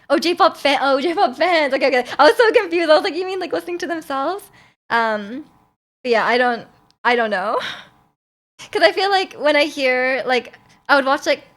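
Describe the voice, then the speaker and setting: A young woman streamer chatting casually with her viewers, laughing often and restarting her sentences. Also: sits high. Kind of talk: casual chat reading viewer comments.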